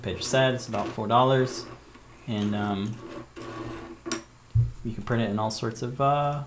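A man's voice: medium pitch, unhurried, background noise. A man co-hosting a maker show, chatting informally and enthusiastically, unscripted, about 3D printing projects.

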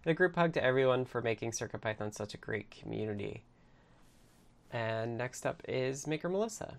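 Male meeting host, mid-pitched with gravelly creak, casual updates and note-reading. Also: expressive, a little fast. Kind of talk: casual online team meeting updates, partly reading notes aloud.

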